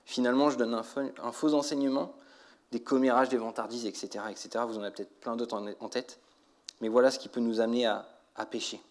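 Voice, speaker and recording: A man delivers a sermon as a church preacher in a medium-pitched voice. He speaks directly to his congregation, exhorting them and putting questions to them. He often repeats a phrase to drive it home. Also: fairly even intonation, fast.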